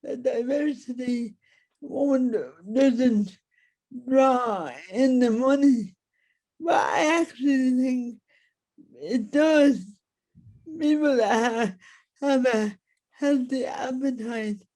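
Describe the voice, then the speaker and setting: A woman with an Irish accent, reflecting in an interview in deliberate, halting short phrases. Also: high voice, mellow, low-quality recording. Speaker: playwright, interview guest.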